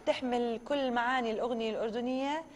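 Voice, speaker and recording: A woman's voice: fairly high pitch, steady tone, very fast, a little hiss or hum. A woman co-hosting a TV talk show, speaking with enthusiasm and praise. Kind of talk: casual talk show chat. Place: large studio set, indoors.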